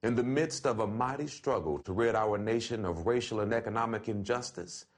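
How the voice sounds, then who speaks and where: Man, lowish voice, measured and deliberate, formal reading of a letter. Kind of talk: reading an open letter aloud.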